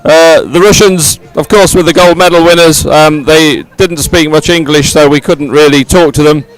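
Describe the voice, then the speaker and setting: A man with a slightly high voice hosts live coverage of an outdoor sporting event. He is effusive, often doubles up his words, and speaks in an improvised presenting style in the open air. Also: steady tone, normal speed, poor audio. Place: outdoors at a regatta stadium.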